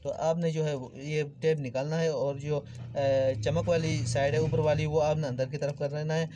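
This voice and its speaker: Man, slightly high voice, informal repair tutorial, explanatory, clear background noise. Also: level tone, moderate pace. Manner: explanatory, addresses viewers as friends. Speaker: electrical repair tutorial host. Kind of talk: informal hands-on tutorial narration. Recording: clearly noisy background with clicks.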